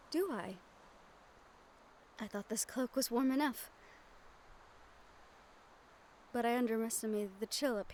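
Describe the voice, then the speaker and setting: A woman voicing a gentle, wistful young noblewoman in a romantic fantasy audio roleplay, speaking softly with formal, measured diction and tender pauses. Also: mid-range voice, a little hiss or hum, lightly acted. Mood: shy, grateful.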